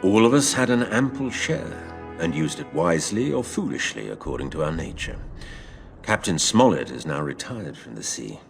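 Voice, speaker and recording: A man playing a seafarer who tells his past adventure in a measured, literary, expressive way. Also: faint music behind, heavily acted. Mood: wry. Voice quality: low, gravelly. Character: older seafaring man telling his past adventure to a boy, wry and fond. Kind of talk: dramatised storytelling narration.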